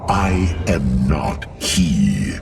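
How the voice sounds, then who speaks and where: An actor playing a solemn robotic alien warrior in a video game, deep and gravelly, speaking in a measured way. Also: male voice, very animated, recorded from a distance, music in the background, exaggerated voice. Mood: solemn, reflective. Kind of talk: dramatic video game cutscene acting.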